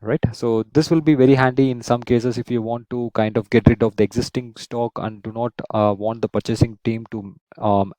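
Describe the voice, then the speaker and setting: A man in his thirties explaining software step by step as a tutorial host, often checking in with a "right?". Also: fairly low pitch, no room sound, rough recording. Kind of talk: screen-share software tutorial, semi-scripted explanation.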